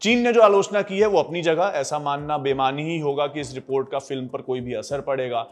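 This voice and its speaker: A man delivers a scripted news explainer in a fairly high voice. He reads as a narrator, with faint music playing underneath. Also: fairly quick.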